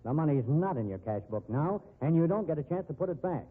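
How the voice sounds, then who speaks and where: A man's voice: on the high side, lively intonation, quick pace, breathy edge. An actor playing a hard-boiled, cynical male store investigator in 1950s radio drama style. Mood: accusing, bullying. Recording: muffled, dull 1950s radio recording.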